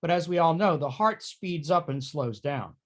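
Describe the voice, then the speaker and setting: Panelist chatting casually, middle pitch, chips in and explains stuff informally. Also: expressive, normal speed.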